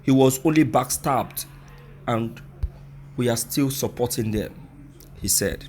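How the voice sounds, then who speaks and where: A man's voice: mid-range voice, measured pace, darkish tone, soft background music. A Nigerian man presenting opinionated news commentary, speaking emphatically and rhetorically, addressing his listeners directly.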